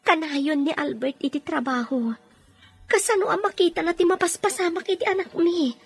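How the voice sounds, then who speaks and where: A woman's voice: very high voice, steady tone, moderate pace, faint music behind. A Filipino woman playing a tender, anxious wife and mother in an earnest audio drama. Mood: worried.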